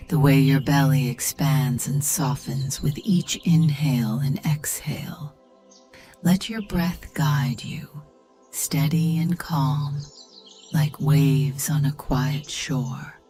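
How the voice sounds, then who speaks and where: A woman narrates in a very deep, soft and breathy voice, close to a whisper. She takes her time, gently guiding the listener as in a bedtime meditation. Faint music and chirping birds sit behind her. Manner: soothing, gentle, measured. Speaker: sleep story and meditation narrator.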